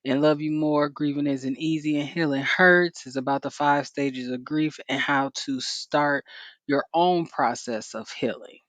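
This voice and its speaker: A woman with a fairly deep voice co-hosting a casual podcast, chatting loosely with lots of "you know" and "like" and frequent laughter. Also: fairly even intonation, unhurried, no echo. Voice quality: deeper voice. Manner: laughs often, many fillers like "you know". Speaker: podcast co-host. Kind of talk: casual chat.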